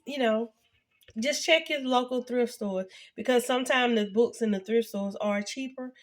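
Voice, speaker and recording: A woman in her fifties, sounding congested and a little nasal, chatting casually to her viewers in a halting way with many fillers and restarts. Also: medium pitch, fairly bright tone. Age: 50s. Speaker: vlogger. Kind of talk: casual reflective talk to camera.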